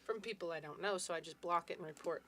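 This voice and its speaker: A woman co-hosting a radio talk show, calmly explaining in a matter-of-fact way. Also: lowish voice, fast, slight background noise. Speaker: radio co-host, tax preparer. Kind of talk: casual radio chat.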